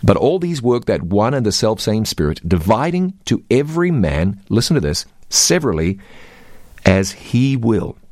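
Low-voiced man teaching the Bible. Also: darkish tone, dry recording, studio quality. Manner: reads scripture aloud, quizzes his co-host.

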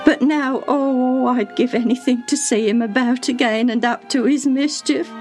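Storybook role: grieving old nursemaid, high and fretful, sobbing through homely phrases, read by a woman. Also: slow, over music. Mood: tearful. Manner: sobbing, breathless, rustic turns of phrase. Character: devoted older female nursemaid, tearful and fretful. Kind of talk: storybook reading.

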